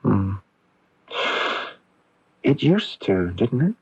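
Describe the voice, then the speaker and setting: An actor playing a patient, probing therapist in a radio drama, speaking in a soft, measured, confiding way. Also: male voice, lowish voice, big pitch swings, breathy edge, heavily acted. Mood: gentle, probing. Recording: dull 1980s AM radio recording.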